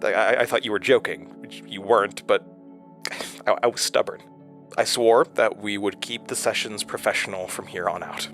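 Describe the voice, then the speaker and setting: Casting: male actor for hesitant, haunted investigator, horror audio drama. Lower register, earnest and understated, faint music bed. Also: a bit bright. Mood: wistful, halting. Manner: halting, stammering in dialogue, low-key and earnest. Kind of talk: intimate audio drama acting and narration.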